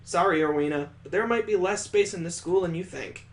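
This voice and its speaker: A man's voice: high-pitched, a little fast. A man voicing a bookish, wry, meddling student in a visual novel, with a bantering manner.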